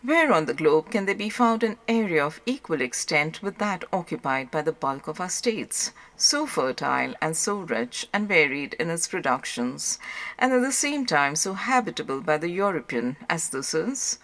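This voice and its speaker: Low-voiced woman narrating literary audiobook. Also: lively intonation, moderate pace, fairly bright tone.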